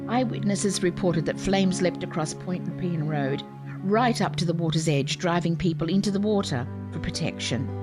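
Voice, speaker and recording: A woman narrates history in a measured, formal tone. Her voice sits a little low. Loud guitar music plays under her.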